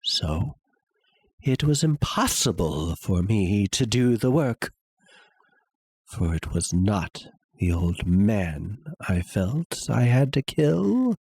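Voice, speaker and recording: Man acting a halting, husky madman narrator. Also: fairly low pitch, very animated, darkish tone, dry recording, exaggerated voice. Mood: obsessive, agitated. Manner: halting, theatrical, with long pauses and sudden swells. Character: obsessed madman confessing a murder, insisting he is sane. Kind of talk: dramatic reading of a classic horror story.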